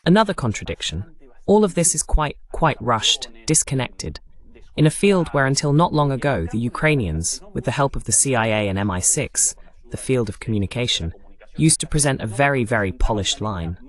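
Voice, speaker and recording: Lively male political commentator. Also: medium pitch, normal speed. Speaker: host of political analysis broadcast. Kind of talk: political commentary, English voice-over of a live talk.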